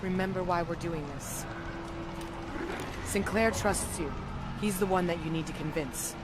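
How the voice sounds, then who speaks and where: An actress playing a guarded, intense rebel operative in a video game, speaking in an earnest, terse, insistent way. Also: female voice, lowish voice, unhurried, heavy background noise, lightly acted. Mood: impassioned. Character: determined half-Vektan woman rebel operative, guarded and intense. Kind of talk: dramatic video game acting. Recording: loud music behind the voice.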